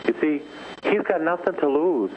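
A man with a somewhat high voice preaches in a charismatic style. He is emphatic and leans on repeated phrases. The sound is narrow and phone-like, with some background noise. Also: expressive, moderate pace. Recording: thin phone-like band, loud noise.

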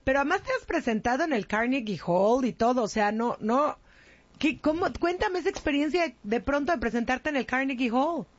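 A woman interviewer with a Mexican accent and a very high-pitched voice. She chats casually and effusively, in colloquial phrases full of exclamation. The sound is a little muffled. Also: animated, a little fast. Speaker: interview host. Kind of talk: casual celebrity-style interview chat.

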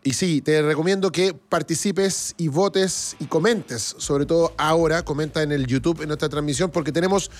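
A man's voice: on the high side, moderate pace, few pauses. A Chilean man hosting a live music show in casual chat, often repeating phrases for emphasis and reading out comments.

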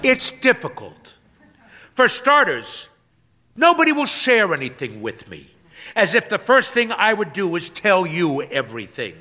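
A man's voice: high-pitched, huge pitch swings, unhurried, pushed and bright, voice pushed up, full character voice. A man voicing a pastor's wife, an adult woman who is hurt, guarded and wry. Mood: bitter. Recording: long hall reverb.

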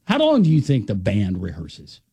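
Gravelly sarcastic man on sports radio. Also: fairly low pitch, wildly swooping pitch, moderate pace, warm tone, dry recording. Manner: sarcastic, wisecracking. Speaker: co-host. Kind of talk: casual sports radio banter.

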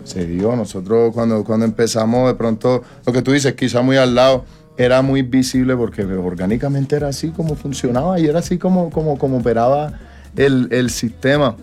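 A man's voice: mid-range voice, brisk, a little mellow, soft background music. A Colombian man in his twenties, a singer talking casually in an interview, rambling with slang and restarts. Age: 20s.

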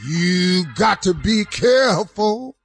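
A man playing a fiery, exuberant old-time preacher in a comic sermon, half singing and half shouting, with drawn-out cries and whoops. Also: high-pitched, animated, slightly rough, dry recording, faint music behind, heavily acted. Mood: fervent, whooping.

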